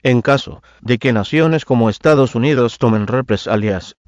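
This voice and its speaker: A man's voice: mid-range voice, a little fast, darkish tone, no echo, highs cut off. A man reading a formal scripted national emergency broadcast as a government announcer.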